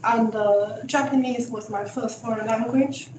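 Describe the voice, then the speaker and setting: A woman's voice: a little high, fairly even intonation, unhurried. A woman from China speaking casually as an attendee at a meeting. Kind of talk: casual self-introduction and questions. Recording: echoey room, loud background noise.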